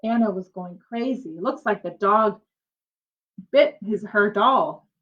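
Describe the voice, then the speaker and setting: A woman reading a children's storybook aloud in an expressive way, drawing out her emphasis and pausing to explain things to young listeners, like a teacher. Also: mid-range voice. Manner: animated, drawn-out emphasis, explains to children as she goes. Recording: dull lo-fi sound.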